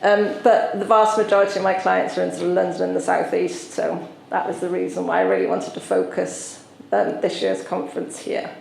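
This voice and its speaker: A woman from South Wales with a Welsh accent, giving a conference talk as a solicitor and explaining with frequent pauses. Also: on the low side, creaky, darkish tone, reverberant, a little hiss or hum, low-quality recording. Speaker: tree law solicitor presenting. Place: conference venue.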